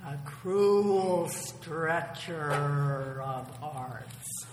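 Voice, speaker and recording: A woman's voice: low-pitched, very slow, roomy sound, big-room sound, a little hiss or hum. A woman voicing a sardonic, mischievous storybook wolf with a growling manner.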